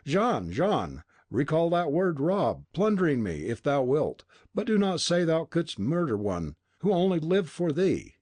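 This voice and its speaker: Man reading a desperate old miser, raised and strained, husky edge. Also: on the high side, huge pitch swings, measured pace, hardly any pauses, darkish tone, no room sound, pitched above the performer's own voice, heavily acted. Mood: anguished. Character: frantic old male miser, pleading. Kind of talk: audiobook dialogue.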